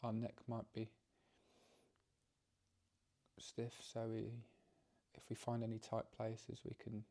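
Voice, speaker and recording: A man leading a guided meditation as its teacher, speaking calmly and gently, haltingly, with frequent pauses and repeated phrases. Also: lowish voice, gravelly. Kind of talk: guided meditation, softly instructive.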